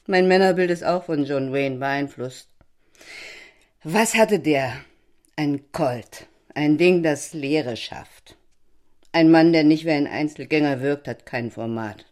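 A tipsy, brittle wife in a stage-like audio drama. Her voice sits low for a woman. She hands down opinions with languid, sardonic certainty. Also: slow pace, a little mellow. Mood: tipsy musing. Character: tipsy, opinionated, brittle married woman, guest of another couple. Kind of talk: theatrical audio drama acting.

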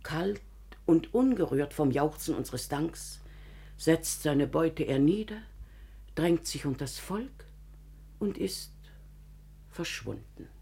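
Devoted excitable lady's companion in an old classic radio play, dark creaky voice, very declamatory. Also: low voice, slow pace, long pauses, full character voice. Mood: dramatic, troubled. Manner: declamatory, emphatic storytelling. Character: devoted, excitable female household companion, troubled by conscience. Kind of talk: classical German radio drama acting.

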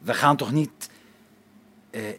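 A man playing an excitable, uneasy space station crewman in a radio play, with an agitated manner. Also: mid-range voice, takes it slowly, a little hiss or hum. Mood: uneasy doubt.

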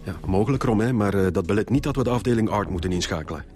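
An actor with a Flemish accent playing an insistent police officer in a radio drama. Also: male voice, on the low side, lively intonation, racing, over music, natural voice.

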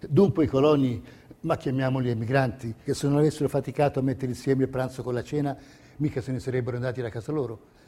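A man's voice: medium pitch, fairly even intonation, fast, warm tone. A man delivering scripted, theatrical documentary narration in a declamatory, rhetorical way. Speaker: stage narrator.

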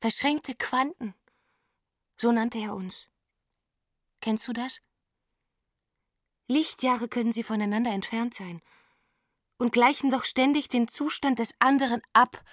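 A woman's voice: fairly high pitch, measured pace, pauses often, no room sound, studio quality, close to an everyday voice. An actress playing a wistful, intense woman confiding about a lost love in a radio drama, in short theatrical phrases that linger on words. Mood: fervent.

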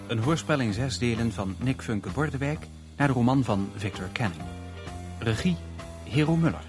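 A man's voice: medium pitch, expressive, normal speed. A man announcing the title and credits of a radio play in a scripted style. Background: loud music.

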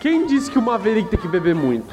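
A man in casual podcast chat, animated and opinionated. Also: high-pitched, fast, over music.

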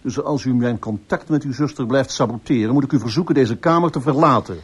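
An actor playing a composed, firm police inspector, polite and authoritative, in a radio drama. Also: male voice, medium pitch, fairly quick, breathy edge. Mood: firm, probing. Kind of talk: Dutch radio drama acting.

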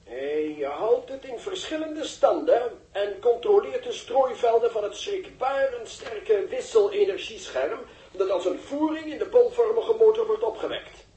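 An eager male reporter in an old science fiction radio play. His voice is high and soft-edged, explaining everything in florid, dramatic detail. It sounds echoey and set back from the microphone. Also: expressive, a little slow, far from the mic, slight background noise. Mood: excited commentary. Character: eager male television reporter giving live spaceship commentary. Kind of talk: 1960s radio drama live commentary.

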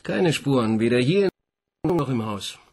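A man's voice: medium pitch, animated, measured pace, darkish tone. An actor playing a junior police detective in a radio crime drama, eager and deferential, chatty with his boss.

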